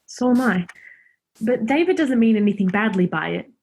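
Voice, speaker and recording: A teasing young woman in a radio play, played by an actress. Her voice sits fairly high with a husky grain, and she talks in a natural, brisk, blunt way. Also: expressive, a little mellow. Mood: reassuring.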